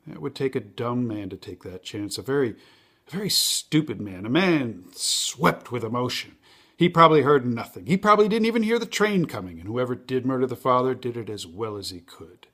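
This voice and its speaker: A man's voice: a little high, a bit bright, full character voice. A man playing an earnest, insistent juror in a theatrical stage monologue, arguing emphatically and rhetorically. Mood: probing, measured. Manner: emphatic, rhetorical, building arguments by repeated questions.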